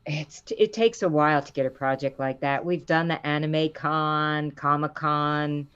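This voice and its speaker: A middle-aged woman with a low voice, a voice actor chatting in an interview and acting out the quotes in her stories. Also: measured pace, smooth, dull audio. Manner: animated storyteller, acts out quotes with rising pitch. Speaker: voice actor guest. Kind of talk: casual interview chat.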